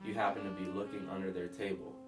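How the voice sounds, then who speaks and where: A man voicing a brisk, questioning police lieutenant in a read-aloud crime story. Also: deep voice, level tone, far from the mic, voice pushed down, lightly acted. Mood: puzzled. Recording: echoey room, off mic, guitar music behind.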